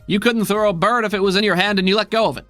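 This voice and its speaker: A man's voice: high-pitched, fairly even intonation, rapid-fire, a bit bright, no room sound, soft background music, voice pushed up. A man voicing a skinny, brash reporter who hollers taunts like a news announcer in a tabletop game. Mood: cocky, hollering.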